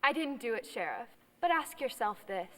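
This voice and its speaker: A woman acting a scorned, sharp-tongued small-town woman with a combative temper in a film drama. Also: a little high, sing-song, normal speed. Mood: combative, biting. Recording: echoey hall with faint noise.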